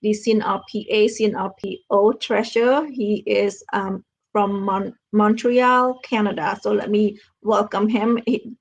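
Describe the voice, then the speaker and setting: A woman's voice: mid-range voice, husky, muffled recording. A woman hosting an online talk show, friendly and somewhat hesitant, with frequent fillers.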